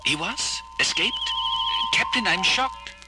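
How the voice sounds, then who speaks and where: A suave alien ambassador in an audio drama, polite but quick to take offence. His voice sits high and swoops around, with music behind him. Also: wildly swooping pitch, full character voice, rough recording. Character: smooth, evasive male alien ambassador.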